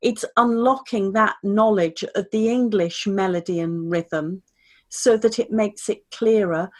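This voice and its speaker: Podcast guest: woman speaking trainer, measured and articulate, mid-range voice. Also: mid-range voice. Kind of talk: conversational interview.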